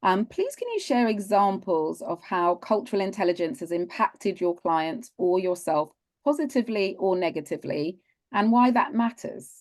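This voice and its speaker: A woman's voice: medium pitch, big pitch swings, moderate pace, darkish tone. A woman moderating a panel over a video call, encouraging the speakers and posing questions. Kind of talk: hosting a panel discussion.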